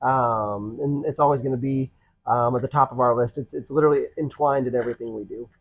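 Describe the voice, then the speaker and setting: A man's voice: medium pitch, steady tone. Earnest easygoing man in his thirties. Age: 30s. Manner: easygoing and earnest, halting with many ums and restarts. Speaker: podcast co-host, pastor. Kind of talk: casual conversational podcast chat.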